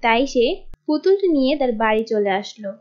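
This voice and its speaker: High-voiced woman narrating a story. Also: expressive, normal speed, darkish tone. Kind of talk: scripted children's-tale narration. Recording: dull lo-fi audio.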